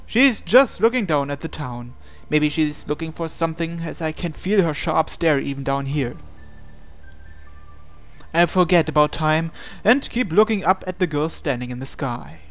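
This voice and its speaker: A man's voice: a little high, animated, normal speed, soft background music. A man hosting a game playthrough, reading visual novel narration aloud expressively with casual asides.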